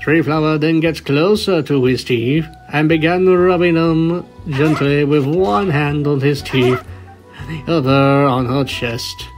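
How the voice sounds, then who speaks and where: Male storyteller, scripted narration, unhurried pace, faint music underneath. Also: a little high, fairly even intonation, rough recording. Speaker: narrator of a fan fiction reading. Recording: music bed behind the voice.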